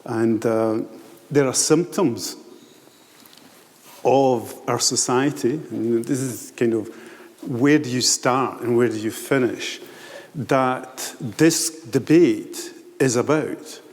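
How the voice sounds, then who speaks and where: Older Italian-accented man, wry and mellow. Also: medium pitch, slow. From Italy. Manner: wry, self-deprecating, frank. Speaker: architect and planner on a panel. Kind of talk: candid panel talk. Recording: long hall reverb.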